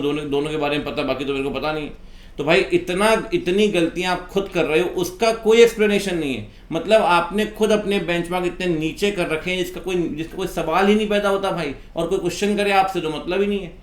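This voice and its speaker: A man, an accountancy teacher giving an impassioned, informal rant in an exasperated, reproachful way full of rhetorical questions. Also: fairly high pitch. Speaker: accountancy teacher and video host. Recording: echoey room.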